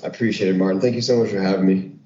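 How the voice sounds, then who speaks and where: A man talks as an interview guest, animated and loose, leaning on filler words. His voice is fairly low and mellow. The audio sounds roomy and dull, like a remote recording. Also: rapid-fire. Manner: animated, filler-heavy storytelling. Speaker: retreat founder, guest. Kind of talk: casual interview chat.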